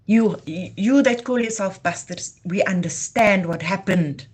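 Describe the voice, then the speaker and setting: A woman's voice: mid-range voice, a little slow, slightly rough. A woman from Namibia, hosting a live talk in a passionate, declamatory way. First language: Afrikaans. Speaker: livestream host. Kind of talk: impassioned heritage talk.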